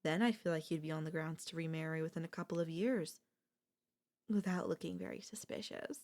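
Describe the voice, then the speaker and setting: A woman's voice: deep voice, fairly quick, a little mellow, lightly acted. A woman playing an obsessive, lovestruck and gleefully sinister older sister in an ASMR audio roleplay. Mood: scheming.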